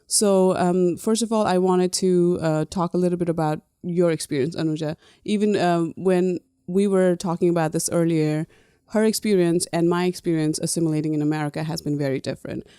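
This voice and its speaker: A woman's voice: on the low side, fairly even intonation, fairly quick, dark tone, clean tone, no echo, clean studio sound. A woman from Nepal, a native Nepali speaker, chatting casually as a podcast co-host. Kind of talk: casual conversational podcast talk.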